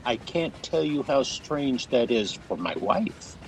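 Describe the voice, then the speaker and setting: A man's voice: mid-range voice, very animated, takes it slowly, a little hiss or hum, low-quality recording. A man playing a wry, jovial older husband who likes to needle, in an audio fantasy drama. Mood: teasing.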